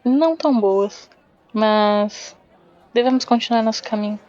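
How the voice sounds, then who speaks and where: Reserved, determined adventurer woman for tabletop roleplay, acted by a woman; highish voice, terse, muffled lo-fi recording. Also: unhurried, a little mellow, lightly acted. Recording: dull, lo-fi voice recording.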